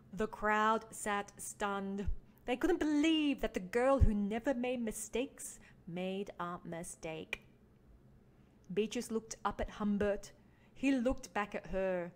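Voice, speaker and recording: A woman reading a children's picture book aloud as a teaching artist, with expressive emphasis for young listeners. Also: medium pitch. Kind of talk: animated children's storybook read-along.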